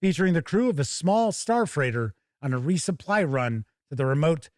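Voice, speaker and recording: Male livestream host, middle-aged, slightly high voice, dry close sound, rambling and informal delivery. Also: lively intonation, normal speed, no room sound. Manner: rambling, chatty, informal with mild swearing. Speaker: host of a tabletop gaming livestream. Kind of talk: casual livestream chat and product first look.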